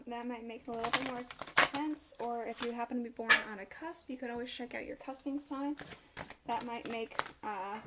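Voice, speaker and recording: A woman's voice: a little high, very noisy. Stuffed-up woman reading tarot cards. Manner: musing, thinking aloud as cards turn. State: stuffed up, slight cough. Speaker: tarot reader. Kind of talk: casual tarot reading to camera.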